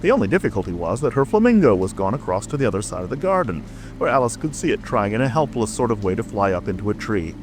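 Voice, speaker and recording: A man's voice: on the low side, very animated, fast talker. A man narrating a storybook as a dramatic, scripted audiobook reading. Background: music.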